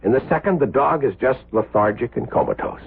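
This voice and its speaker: A man's voice: mid-range voice, brisk, vocal fry, a little hiss or hum, natural voice. An actor playing an intense, earnest male surgeon with emphatic delivery in an American 1970s radio drama. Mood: stern, accusing. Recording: muffled, lo-fi old radio broadcast.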